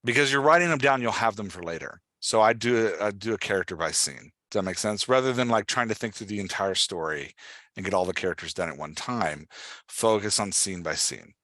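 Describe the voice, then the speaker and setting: Guy podcast coach, lowish bright voice, dry close sound, explains stuff step by step. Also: lively intonation, brisk, dry recording. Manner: explains step by step, often checking understanding. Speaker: podcast host and writing coach. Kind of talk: casual coaching conversation.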